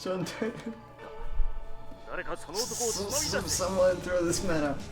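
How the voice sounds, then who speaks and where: An indignant young mad inventor, acted out by a man reading a visual novel aloud, blusters theatrically in a high voice. He is confrontational and dramatic, with music playing clearly behind him. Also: slow. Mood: indignant, accusing. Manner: blustering, confrontational, stammers in outrage.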